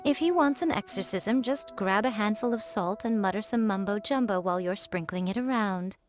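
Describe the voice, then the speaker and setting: A woman voicing a sly, teasing shrine priestess in a video game, with an unhurried way of talking. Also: mid-range voice, soft background music, close to an everyday voice.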